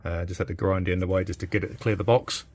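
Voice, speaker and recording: A man's voice: sits low, steady tone, fast talker, a little mellow. A British man in his fifties chatting casually and thinking aloud as he gives a hands-on workshop demonstration. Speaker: vintage radio repairer presenting a video. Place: small cluttered electronics workshop, indoors. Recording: clearly noisy workshop with background ambience.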